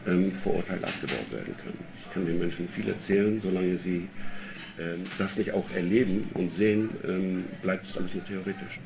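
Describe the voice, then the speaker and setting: Man, deep, vocal fry, interview statement, clear ambient noise. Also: fairly quick, darkish tone.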